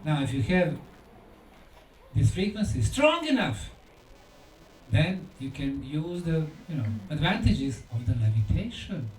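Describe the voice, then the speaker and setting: High-pitched man lecturing, echoey and distant. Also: big pitch swings. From Bosnia. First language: Bosnian. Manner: short, deliberate phrases with dramatic pauses and rhetorical questions. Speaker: lecturer and researcher. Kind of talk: conference lecture. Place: indoor lecture room at a conference. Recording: echoey room, distant mic, faint noise.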